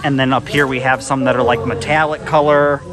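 A man's voice: mid-range voice, fairly even intonation, a little fast, crowd noise. A man vlogging a walkthrough of a large store on a handheld camera, chatty and easily impressed, thinking aloud. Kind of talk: casual walkthrough narration. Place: large retail store indoors. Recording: store music playing behind. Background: store music.

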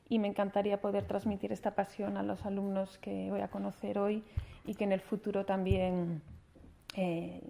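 A woman in her forties from Spain gives a formal alumni speech into a table microphone in a large hall. Her voice is dark, low-toned and gravelly, with a narrow, even pitch. She talks earnestly, often restarting her phrases. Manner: earnest, with frequent restarts and fillers; gestures with her hands as she speaks. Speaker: honored alumna speaker. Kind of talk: formal speech at a university ceremony.

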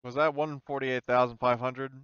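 A man's voice: medium pitch, little pitch movement, moderate pace, fairly bright tone, smooth, no room sound, highs cut off. A man running a tabletop game as game master, narrating casually and off the cuff in colloquial language.

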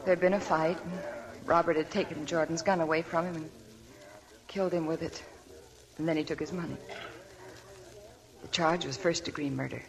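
An actress playing a weary, heartsick young wife in a 1940s radio drama. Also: female voice, low-pitched, fairly even intonation, moderate pace, pauses often, crowd noise, poor audio. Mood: subdued. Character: weary, heartsick young wife telling her sad past. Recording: old 1940s radio broadcast, audience murmur.

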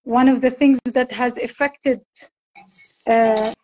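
Woman on a phone video chat, high voice, gentle, explains things step by step. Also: fairly even intonation, slow pace, slight background noise, low-quality recording. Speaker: guest cook. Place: indoor room, phone held close. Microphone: phone at arm's length.

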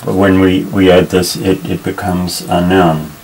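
Slow, low-voiced man teaching Hebrew. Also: level tone, a little mellow, slight background noise, rough recording. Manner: patient, hesitant, many fillers and restarts. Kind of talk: unscripted tabletop lesson, explaining while pointing. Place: indoors at a table.

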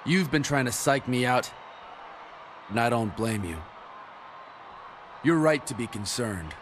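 A man playing a cocky, defiant young wrestler in a video game, with an emphatic, deliberate delivery. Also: medium pitch, expressive, a little hiss or hum, close to an everyday voice. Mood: confident defiance. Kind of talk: video game cutscene promo acting.

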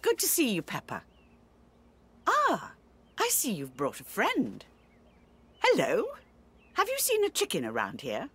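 A woman voicing a kindly elderly grandmother pig in a children's game, talking to children in an expressive sing-song. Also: mid-range voice, measured pace, pauses often, slightly rough, exaggerated voice. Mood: welcoming. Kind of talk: children's game character dialogue.

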